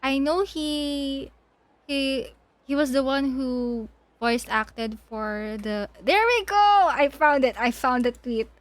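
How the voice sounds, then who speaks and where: A woman's voice: high-pitched, takes it slowly, no echo. A woman livestreamer giving casual game commentary, thinking aloud and often repeating her words. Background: faint game music.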